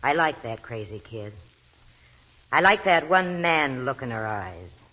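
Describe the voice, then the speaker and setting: An actor playing a worldly, soft-hearted nightclub regular in 1940s radio drama, raspy and confiding in colloquial talk. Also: male voice, medium pitch, very animated, unhurried, dull audio, full character voice. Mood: fond, wry.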